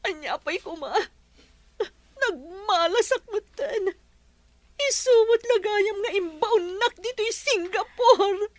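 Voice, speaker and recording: An actress playing a young jilted fiancee in an Ilocano radio drama, with an Ilocano accent. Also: female voice, extremely high pitch, animated, takes it slowly, no room sound, exaggerated voice. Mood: tearful, bitter.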